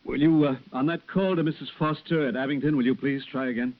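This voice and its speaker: An actor playing an anxious doctor in a 1940s radio drama, urgent and hesitant but coaxing. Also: male voice, medium pitch, fairly even intonation, fast, natural voice. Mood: worried. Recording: dull vintage radio recording.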